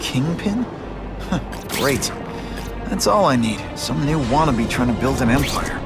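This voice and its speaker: A man's voice: mid-range voice, big pitch swings, unhurried, loud music behind the voice. A man playing a principled young superhero in a video game, youthful, wry and earnest. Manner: sarcastic, defensive, youthful. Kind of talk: naturalistic video game voice acting.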